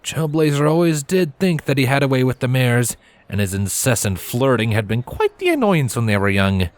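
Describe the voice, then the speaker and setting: A man reading a story aloud as narrator, in an expressive scripted storytelling style. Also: medium pitch, moderate pace, no echo.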